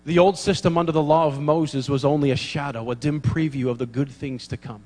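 A man preaching in church, his voice a little higher than most. He tells comic stories and acts out the dialogue as he goes. The sound carries a long church-hall reverb. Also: a little fast. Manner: animated storyteller, acts out dialogue, laughs at own jokes. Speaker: pastor. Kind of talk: sermon with humorous stories.

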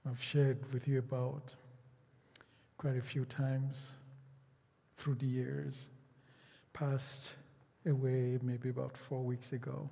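Husky slow-preaching man. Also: mid-range voice, level tone, dark tone, long reverb tail. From Nigeria. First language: Yoruba. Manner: slow, emphatic preaching with drawn-out phrases and repetition. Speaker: pastor. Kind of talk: sermon.

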